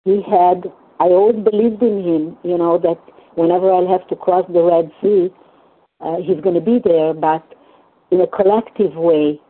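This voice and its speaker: Woman, older, Hungarian first language, lowish voice, halting and earnest, repeats words, tinny phone line. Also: steady tone. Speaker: meeting participant sharing. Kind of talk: personal share in a phone recovery meeting.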